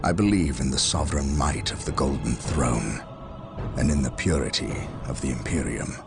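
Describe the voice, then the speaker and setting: A man's voice: very deep voice, moderate pace, creaky, music in the background. A man playing a stern, brooding space marine captain, solemn and commanding, in a dramatic video game.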